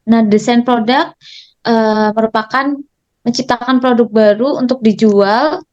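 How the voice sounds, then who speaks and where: Young female student, fairly high voice, reads then chats, dull lo-fi recording. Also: a little slow, darkish tone. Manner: casual asides, many "eh" fillers. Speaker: student presenter. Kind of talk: class presentation.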